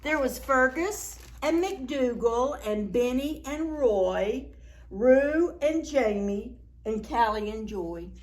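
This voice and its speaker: A woman's voice: fairly high pitch, animated, takes it slowly, darkish tone. A woman reading a picture book aloud to preschoolers as a story time host, expressively, with asides and questions to her young listeners.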